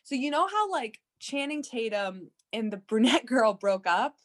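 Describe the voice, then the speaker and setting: A woman's voice: a little high, very animated, unhurried. A woman playing a brazen, self-absorbed wife eager to be rid of her husband, blunt and rambling in improvised comedy. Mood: brazen, pleading. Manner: blunt, rambling, breezily callous.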